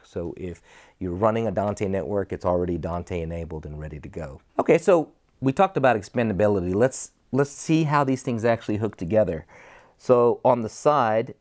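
A man's voice: lowish voice, darkish tone. A man in his forties hosting a casual product presentation, asking questions and explaining features. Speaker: host interviewing and presenting products. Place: indoor studio set, seated.

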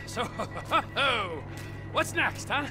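A volatile middle-aged criminal maniac in a game cutscene. His pitch is very high and leaps about as he mocks and threatens erratically. Music is clearly audible behind him. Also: big pitch swings, extremely slow, full character voice. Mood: taunting. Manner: erratic, mocking. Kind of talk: video game cutscene acting.

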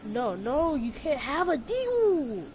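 Lively high-voiced woman gaming. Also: slow pace. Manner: playful, teasing, bossy with teammates. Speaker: gamer streaming with friends. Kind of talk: casual game chat. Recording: dull lo-fi sound, loud game noise behind. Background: loud gunfire and game sounds.

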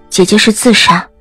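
A woman playing a strong-willed young general's daughter in a period audio drama, earnest and composed. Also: a bit bright.

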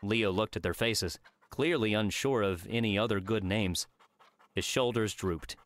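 Low-voiced male audiobook narrator. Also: unhurried, fairly bright tone. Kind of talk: scripted fiction narration.

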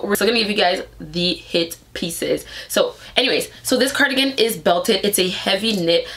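A woman's voice: lowish voice, vocal fry. Stuffy-nosed chatty woman vlogger. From Canada. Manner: upbeat, chatty, animated. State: stuffy nose. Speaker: fashion vlogger. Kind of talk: casual try-on haul. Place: bedroom with clothing rack, indoors.

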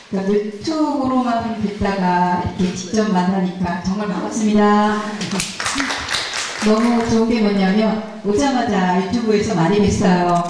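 A woman's voice: medium pitch, recorded from a distance, very noisy. Chatty woman in an echoey hall. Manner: chatty, self-deprecating, giggly, explanatory. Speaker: flower arranging instructor presenting her work. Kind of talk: casual seminar talk. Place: large church hall, indoors. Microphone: handheld mic, heard through hall speakers.

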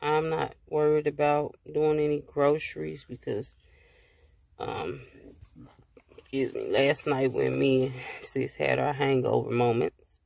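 A woman in her thirties chatting casually to her phone from inside a parked car, rambling and relaxed with many fillers. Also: extremely low pitch, unhurried, creaky. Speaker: vlogger. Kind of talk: casual car chat to camera. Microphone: phone held at arm's length.

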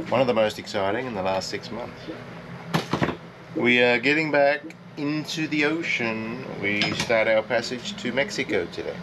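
A man in his forties chatting casually to an arm's-length action camera aboard a sailboat, thinking aloud as he goes. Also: mid-range voice. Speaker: sailing vlogger. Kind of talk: casual vlog chat. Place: on a sailboat, on deck and in the cabin. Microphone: action camera held at arm's length. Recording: outdoor camera with boat and wind noise.

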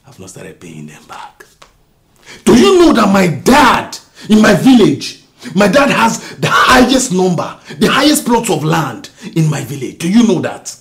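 An actor with a Nigerian accent playing a bitter self-made husband who rants at his wife about his upbringing, swinging from low mutters to shouts. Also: very animated, moderate pace. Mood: angry. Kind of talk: melodrama acting.

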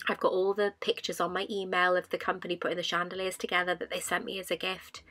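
Woman, 30s, lowish pitch, chatty vlog voice-over, rambling, emphatic, dry sound, faint music. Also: monotone, quick pace, bright, forceful tone, dry recording. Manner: rambling, emphatic, repeats herself for stress. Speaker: vlogger narrating a collection clean-up.

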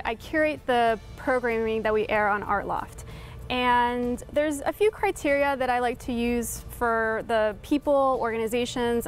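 A woman in her thirties, from Chile, talks through her work in a relaxed, friendly studio interview. Her voice sits a little high. Faint music lies under it. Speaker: guest curator. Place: dark TV studio.

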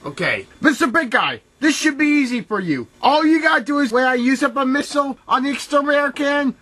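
A man's voice: very high voice, expressive, fairly quick, bright, forceful tone, heavily acted. A man playing a bossy military sergeant who barks theatrical orders in a hobby comedy short. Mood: commanding. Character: bossy military sergeant commanding a comic squad.

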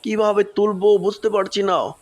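Superhero rescuer, kids' cartoon, male voice actor: well-meaning, flustered, repetitive, hurried reassurance. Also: high-pitched, steady tone, natural voice. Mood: flustered, reassuring. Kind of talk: children's superhero cartoon voice acting.

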